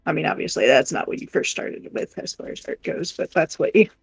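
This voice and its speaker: A woman's voice: low voice, lively intonation, brisk, creaky, slightly rough, no echo, faint music behind. A woman chatting casually and rambling in a giggly way on a livestream while doing crafts. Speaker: live stream co-host.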